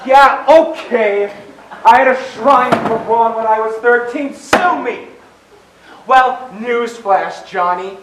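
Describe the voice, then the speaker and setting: A man's voice: very high-pitched, takes it slowly. A young man acting a defensive, indignant character in an amateur one-act stage play. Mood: indignant, angry. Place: indoor stage, recorded from a distance. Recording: echoey stage, distant mic, faint noise.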